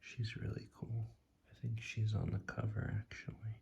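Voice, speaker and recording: A soft-spoken ASMR host giving a casual, hushed, unscripted commentary while flipping through a card deck. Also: mid-range voice, level tone, normal speed, vocal fry, highs cut off. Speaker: tarot deck reviewer, video host.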